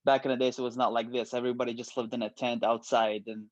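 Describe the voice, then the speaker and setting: A man's voice: mid-range voice, quick pace, no room sound. Iraqi-born man with dull, dry audio. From Iraq. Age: late 20s. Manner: relaxed, lots of ums and likes. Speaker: bodybuilder guest. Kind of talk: casual interview.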